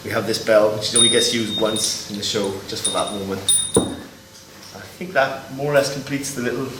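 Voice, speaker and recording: A man giving an informal, explanatory demonstration as a Foley artist, pausing as he shows each item. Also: on the low side. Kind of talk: informal demonstration of sound-effect props. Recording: echoey, distant mic, loud noise and clicks.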